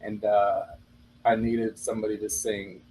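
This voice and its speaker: Trinidadian man, low easygoing voice. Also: unhurried, a little hiss or hum, rough recording. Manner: relaxed storytelling with fillers. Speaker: rapper and producer. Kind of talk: casual interview chat.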